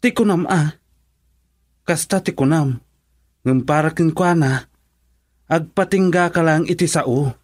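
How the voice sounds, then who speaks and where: A man's voice: on the high side, a little slow, pauses often, no echo, clean studio sound. Frank, questioning man in radio drama. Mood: reproachful. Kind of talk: Ilocano radio drama acting.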